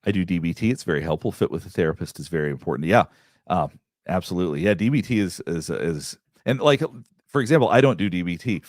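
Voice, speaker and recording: Deep-voiced man, therapist answering live questions, rambling with restarts, dry close sound. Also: lively intonation, quick pace, no echo. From Southeastern United States. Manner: rambling, many restarts and fillers, candid. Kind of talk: casual livestream chat answering viewer questions.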